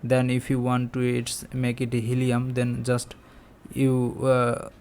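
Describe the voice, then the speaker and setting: A man walks through a phone app step by step as a tutorial presenter. His pitch is mid-range and very even, and he speaks slowly, with halting phrases. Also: smooth.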